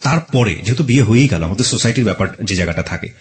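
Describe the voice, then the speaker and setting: A man's voice: on the low side, animated, very fast. A man with a Bangladeshi accent hosting a radio interview, speaking analytically to guest and listeners and mixing in English phrases. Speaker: radio show host and interviewer.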